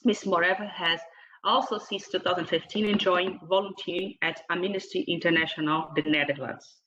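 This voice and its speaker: A woman moderator with a bright, forceful voice introduces a panelist over an online call. Her delivery is formal and halting, as if working through notes, with frequent hesitations. The sound is somewhat dull and lo-fi. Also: lowish voice, fairly even intonation. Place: online video meeting.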